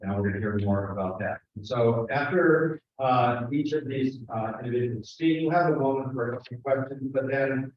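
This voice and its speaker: A man introducing panel speakers at a conference, halting with many fillers and restarts. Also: mid-range voice. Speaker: session moderator. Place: conference room. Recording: echoey hall from far off, dull sound.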